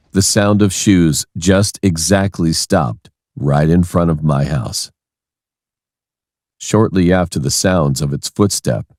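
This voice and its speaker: Very deep-voiced male horror narrator. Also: a little mellow, no room sound, clean studio sound. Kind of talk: scripted first-person horror narration.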